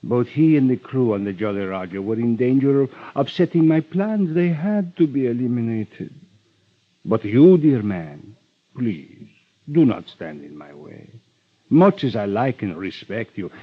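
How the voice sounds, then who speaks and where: Ruthless, flowery-mannered mastermind, vintage radio crime serial, lively intonation, dull old-broadcast sound. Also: male voice, medium pitch, a little slow. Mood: menacing, polite. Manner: courtly, flowery, wheedling politeness. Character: suave, ruthless male criminal mastermind, flattering and menacing. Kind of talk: 1950s radio drama acting.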